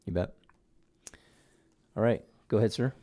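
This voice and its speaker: A man chairing a public meeting, moving it along in a brisk, polite, procedural way. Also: lowish voice, dry recording, phone-quality sound.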